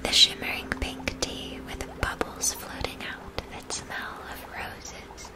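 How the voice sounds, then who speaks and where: A woman whispering a gentle, soothing ASMR fantasy roleplay, unhurried and carefully enunciated, addressing the listener directly. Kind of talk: whispered ASMR, second-person fantasy story with choices.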